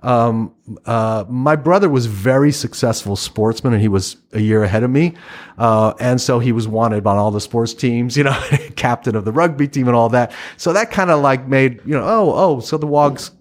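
A middle-aged man from Iran, a writer and interview guest, speaking reflectively in a casual conversation with frequent restarts and soft laughs. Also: mid-range voice, normal speed.

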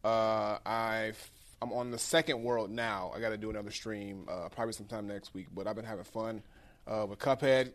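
A man's voice: lowish voice, fairly even intonation, normal speed. A man co-hosting a podcast, talking casually and informally about video games with slang and easy swearing. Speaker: podcast co-host. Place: podcast studio.

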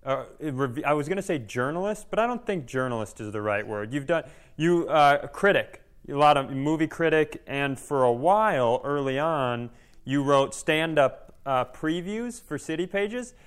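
A man's voice: on the high side, animated, normal speed, clear, resonant tone. A man co-hosting a podcast, telling stories casually in a joking, self-mocking way. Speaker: podcast co-host, stand-up comedian. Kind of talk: casual conversational storytelling.

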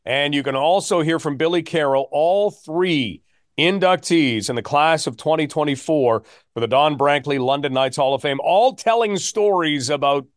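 A man's voice: fairly high pitch, moderate pace, no echo, studio quality. A man with a Canadian accent hosting a sports talk podcast.

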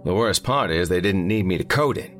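Brainy, sardonic male colleague for audiobook fiction, done by a man: deep, wry, emphatic. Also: animated, brisk, fairly bright tone, soft background music. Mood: wry, wistful.

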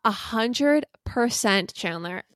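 A woman's voice: a little high, expressive, slow, no room sound. A woman co-hosting a podcast in casual comedic chat, with playful sarcasm and exaggeration. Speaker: podcast co-host.

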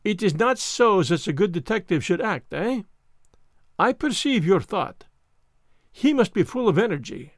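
Man playing a fastidious, theatrical French-accented little detective. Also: sits high, very animated, no room sound, clean studio sound, exaggerated voice. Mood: calmly assured. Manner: theatrical, deliberate delivery with formal phrasing. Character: fastidious little foreign male detective, self-assured and dramatic.

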